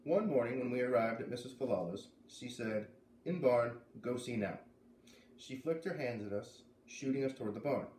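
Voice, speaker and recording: A man's voice: mid-range voice, animated, fairly quick, few pauses, darkish tone. Male reader in his thirties for read-aloud narration; living-room echo. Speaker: storyteller reading a children's novel aloud. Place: living room indoors by a fireplace. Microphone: camera a few feet away. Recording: roomy camera sound, faint noise.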